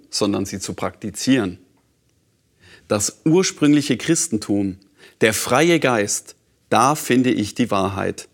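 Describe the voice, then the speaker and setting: Earnest man presenting letters. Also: fairly low pitch. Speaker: program presenter. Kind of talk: introducing and reading listener letters.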